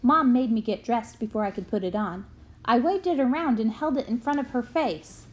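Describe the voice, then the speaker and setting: A woman reading a children's memoir aloud in an expressive storytelling manner. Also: a little high, normal speed, muffled recording. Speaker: book reader.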